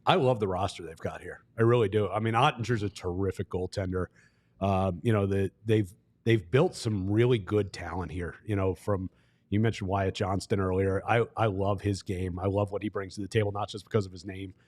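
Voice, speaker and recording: A man who works as a play-by-play broadcaster, talking as a guest analyst in a measured, explanatory, conversational way. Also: deep voice, fairly even intonation, a little mellow.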